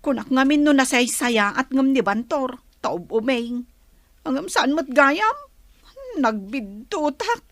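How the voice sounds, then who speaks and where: High-voiced scheming Ilocano wife. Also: female voice, expressive, slow, a bit bright, no room sound, heavily acted. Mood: indignant. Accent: Ilocano Filipino. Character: scheming pregnant wife who left her husband. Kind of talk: Ilocano radio drama acting.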